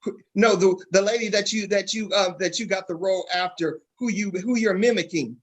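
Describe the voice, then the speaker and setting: A woman's voice: mid-range voice, brisk, husky. A woman hosting a casual interview, putting questions to her guests in a conversational way. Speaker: interviewer, host.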